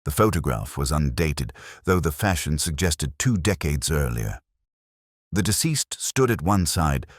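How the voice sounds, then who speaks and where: Very deep-voiced man narrating a mystery. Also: no echo, studio quality. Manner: measured, formal, reflective. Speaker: narrator of a detective story. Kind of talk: scripted literary mystery narration in first person.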